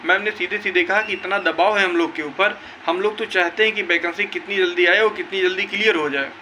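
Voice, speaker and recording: A man's voice: high voice, steady tone, brisk, bright, forceful tone, slight background noise, low-quality recording. A young man gives an informal update to viewers in an emphatic, insistent way, often repeating his points. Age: young adult. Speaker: exam-news video creator.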